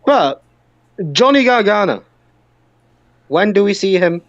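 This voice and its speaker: A man's voice: high voice, big pitch swings, slow, no echo, lo-fi sound. A man co-hosting a wrestling podcast in casual group chat, speaking bluntly and with strong opinions.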